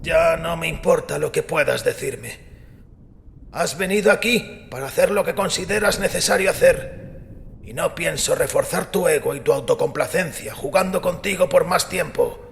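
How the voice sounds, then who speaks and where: An actor playing a frail old priest, devout and defiant, with a gravelly, solemn delivery in audio fiction. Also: male voice, a little high. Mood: weary defiance. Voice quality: creaky, gravelly. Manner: solemn, deliberate, resolute. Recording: long echoing hall reverb, music underneath.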